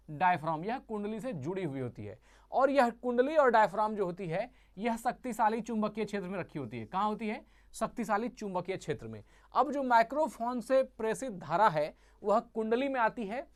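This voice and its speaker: A woman teaching a physics lesson who asks the class questions, answers them herself and repeats each key term. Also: a little high.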